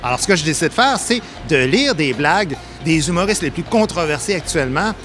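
A man in his thirties with a Québécois accent, interviewing passersby in a shopping mall with a handheld mic, animated and teasing. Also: a little high, a little fast, soft background music. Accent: Québécois French. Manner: animated, teasing, reads jokes aloud. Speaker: street interviewer, comedian. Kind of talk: vox pop street interview.

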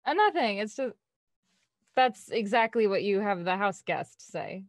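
A woman's voice: mid-range voice, animated, unhurried, no room sound, close to an everyday voice. A young woman playing a shy, anxious character in an audio drama, hesitant in delivery. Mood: worried, shy. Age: young adult.

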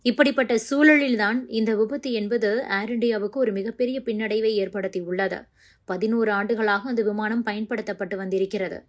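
Woman, medium pitch, news narrator, scripted delivery.

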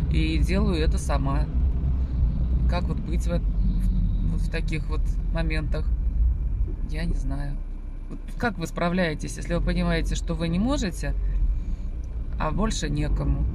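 A woman in her forties from Russia chatting casually to her viewers from her car, rambling with many fillers and restarts. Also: sits low. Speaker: vlogger. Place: inside a parked car, and walking outdoors. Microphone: phone camera on the dashboard. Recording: loud car and street noise.

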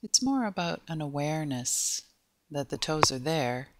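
A woman guiding a body scan meditation in a gentle, unhurried way, with pauses between short phrases. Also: sits low, animated, a little hiss or hum. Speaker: meditation guide.

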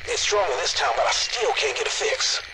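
A man's voice: fairly high pitch, huge pitch swings, brisk, heavy background noise. A man playing a dutiful special forces operative in a video game, reporting to his commander matter-of-factly. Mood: tense, dutiful. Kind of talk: video game cutscene acting. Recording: loud game music behind.

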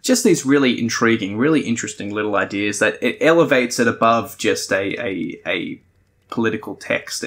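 Low-pitched man hosting a podcast. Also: sharp tone. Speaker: podcast host. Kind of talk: conversational podcast review, partly scripted.

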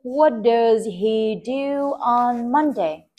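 A woman with a Vietnamese accent, an English teacher giving a one-on-one speaking test, patient and gently correcting. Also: on the high side, very slow, highs cut off. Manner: patient, asks short drill questions and corrects gently.

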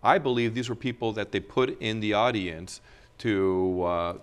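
Middle-aged man, TV guest, earnest, argumentative, lower voice, lapel mic. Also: a little slow, clean tone. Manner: earnest, argumentative, gestures while speaking. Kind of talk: studio interview. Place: TV studio.

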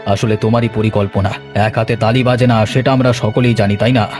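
A man voicing a stern, sly occult holy man in a horror cartoon, ominous and deliberate in manner. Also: on the low side, little pitch movement, darkish tone, soft background music, lightly acted.

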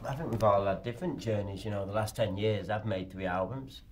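A man's voice: on the low side, brisk, a little hiss or hum. A man in a casual interview, joking and self-deprecating about his own past work, in a hotel conference room. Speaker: band member.

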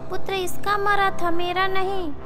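A woman's voice: extremely high pitch, little pitch movement, a little slow, over loud music. A woman playing an insistent young woman in a cartoon, speaking curtly as she presses her claim. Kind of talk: Hindi cartoon dubbing.

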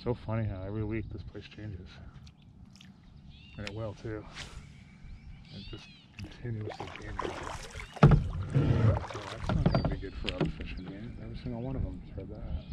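A man's voice: fairly low pitch, moderate pace, long pauses. A middle-aged man vlogging casually while fishing from a kayak outdoors, rambling to the camera. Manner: relaxed, rambling, talks to camera while casting. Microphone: action camera behind his head. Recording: outdoor camera audio with steady noise.

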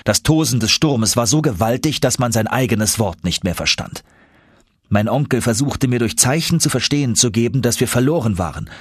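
A man narrating an audiobook story in an expressive, suspenseful, dramatic manner. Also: medium pitch, moderate pace, husky, no room sound, clean studio sound.

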